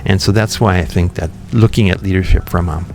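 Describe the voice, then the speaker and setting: Low gravelly-voiced man with music behind. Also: steady tone, a little fast. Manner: thoughtful, many ums and restarts. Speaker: podcast guest, company founder. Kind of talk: relaxed interview conversation.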